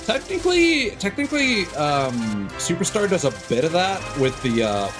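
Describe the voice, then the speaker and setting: A man giving casual gameplay commentary, thinking aloud in a halting way. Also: a little high, wildly swooping pitch. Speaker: game streamer. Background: video game music.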